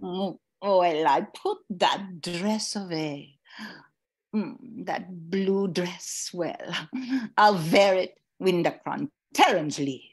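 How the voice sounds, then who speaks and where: Woman playing a husky, languid noir old flame. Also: lowish voice, drawn-out words, exaggerated voice. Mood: coy, nostalgic. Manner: languid, teasing. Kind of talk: noir radio spoof acting.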